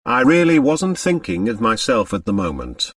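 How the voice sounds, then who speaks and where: A man plays a boyish, charming idler of thirty-four in an audiobook. He drawls lazily with airy irony, and his pitch swings freely. The microphone is a little way off. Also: wildly swooping pitch, normal speed, slightly rough, far from the mic, heavily acted. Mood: detached. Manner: lazy, airy, ironic, nonchalant. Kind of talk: audiobook character dialogue.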